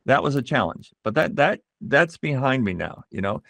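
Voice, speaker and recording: A middle-aged man being interviewed as a voice actor, explaining things in a measured, reflective way and pausing to find his words. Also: mid-range voice, sing-song, vocal fry, fairly bright tone, no echo.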